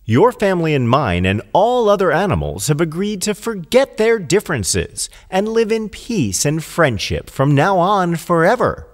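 A man voicing a sly, falsely friendly fox in a children's fable, with a gushing, excitable manner. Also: a little high, normal speed, hardly any pauses, voice pushed up. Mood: falsely joyous. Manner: gushing, exaggerated joy.

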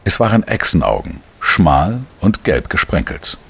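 Wry journalist narrator, deep pitch, dry delivery, world-weary, radio crime drama. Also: male voice, very animated, measured pace, vocal fry, a bit bright, husky, exaggerated voice. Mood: observant. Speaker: narrator and lead actor. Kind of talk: scripted first-person crime narration.

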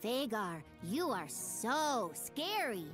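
A woman's voice: on the high side, sing-song, takes it slowly, fairly bright tone, faint music behind, full character voice. A woman voicing a small, plucky, unfazed hero in a video game, earnest and cutesy.

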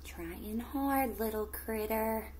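A middle-aged woman teacher reading a picture book aloud to children, with expressive, drawn-out storytelling and questions to the young listeners. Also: on the high side, a little hiss or hum. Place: small indoor room at home with plants.

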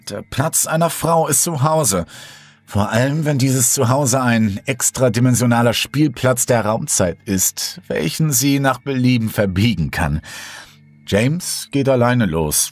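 A man's voice: on the low side, dry recording, pitched above the performer's own voice. A man voicing a teasing cannibal killer woman. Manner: teasing, coolly condescending.

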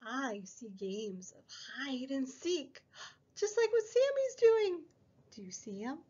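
Young female preschool read-aloud host: very lively sing-song, unhurried, slightly dull recording. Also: sits high. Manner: sing-song, exaggerated child-directed delivery, drawn-out words. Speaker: preschool story time host and teacher. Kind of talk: picture book read-aloud for small children.

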